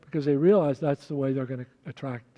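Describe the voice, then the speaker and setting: A man speaking as a school superintendent on a panel, explaining things in a measured way. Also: mid-range voice, dull audio. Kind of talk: panel discussion. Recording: reverberant hall.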